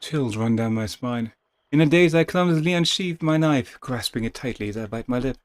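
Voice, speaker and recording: A man's voice: mid-range voice, animated, a little fast. A man reading a text game aloud as theatrical narration, stumbling and rereading now and then, with casual asides. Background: music.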